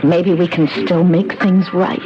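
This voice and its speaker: An actress playing a world-weary, remorseful waitress, pleading earnestly in 1970s radio drama style. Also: female voice, on the low side, flat delivery, unhurried. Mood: ashamed pleading. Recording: muffled 1970s radio broadcast, music behind.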